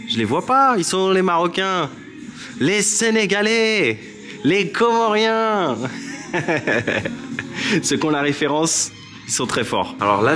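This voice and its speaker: Enthusiastic man vlogging over music. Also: medium pitch. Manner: chatty and enthusiastic, addressing viewers directly. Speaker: travel vlogger. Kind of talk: casual travel vlog. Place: small restaurant indoors. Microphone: handheld camera at arm's length. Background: background music.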